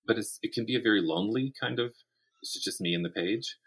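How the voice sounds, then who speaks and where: A middle-aged man with a low, husky voice that still carries a bright, forward edge. He talks conversationally as an interview guest, thinking aloud and restarting phrases as he goes. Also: fast. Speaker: guest, writer and academic. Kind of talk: conversational interview about writing craft.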